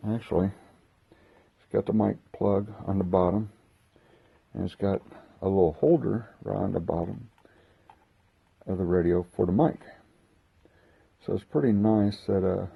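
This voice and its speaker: Guy doing a casual unboxing, husky lowish voice, thinks out loud as he goes. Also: fairly even intonation, phone-quality sound. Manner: halting, thinking aloud while handling gear. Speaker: host of a hobby unboxing video. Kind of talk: casual unscripted product walkthrough.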